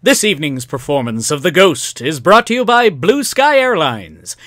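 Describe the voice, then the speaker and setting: A man's voice: fairly high pitch, big pitch swings, normal speed, fairly bright tone, dry recording, clean studio sound. A man playing the announcer of an old-time radio serial, narrating in a grandiose, suspenseful way. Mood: polished, selling.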